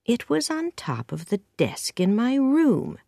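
A woman voicing a stern but caring female guardian in a storybook, speaking in a firm, measured manner. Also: on the high side, wildly swooping pitch, vocal fry, mellow, no room sound, studio quality, voice pushed up, heavily acted. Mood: puzzled. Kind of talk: storybook character voice.

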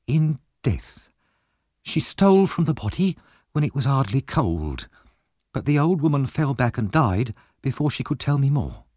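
A calm, bold woman who shows no fear, played by a man reading aloud in a raised voice. Her firm lines swing lively in pitch, in a dry close sound. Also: unhurried, dry recording.

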